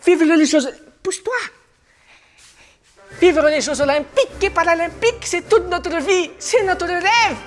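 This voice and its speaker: A woman's voice: very high-pitched, measured pace. A woman playing an eager young man who dreams big, speaking earnestly and pleadingly with a Malagasy French accent. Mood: declaiming.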